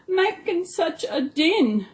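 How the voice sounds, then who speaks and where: A woman's voice: very high voice, big pitch swings, slow pace, pitched above the performer's own voice, heavily acted. A woman voicing a complaining elderly lady flower in a children's storybook. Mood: grumbling. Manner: complaining, grumbly.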